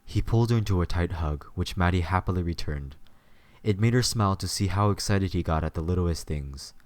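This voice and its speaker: A man's voice: deep voice, fairly quick, darkish tone, no room sound. A man reading scripted story narration as the narrator of an audio drama.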